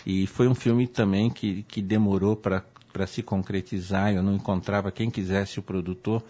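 An older man with a Brazilian accent, a film director recalling his work in an interview, unhurried and often trailing off. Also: low voice, fairly even intonation, warm tone. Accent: Brazilian Portuguese. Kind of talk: relaxed interview recollection.

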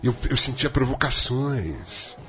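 Man rambling with sardonic, lively swings. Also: slow pace. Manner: rambling, sardonic storytelling with big swings in pitch. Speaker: podcast co-host. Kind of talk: casual comedic chat.

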